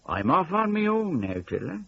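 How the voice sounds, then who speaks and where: A man's voice: sits high, big pitch swings, moderate pace, gravelly, slightly rough, pitched above the performer's own voice, exaggerated voice. A man voicing an affectionate, carefree older brother from a country mill in a storybook, with a breezy manner. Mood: offhand. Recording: dull, band-limited audio.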